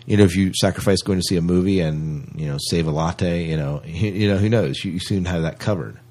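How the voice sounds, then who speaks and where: A man's voice: deep voice, fast talker, darkish tone. A man hosting a podcast in casual chat, rambling along and often rephrasing himself. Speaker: podcast host.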